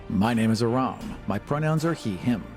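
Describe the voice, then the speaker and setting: A man's voice: mid-range voice, lively intonation, moderate pace, soft background music. A man co-hosting a podcast, presenting and chatting. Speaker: podcast co-host, writer and producer.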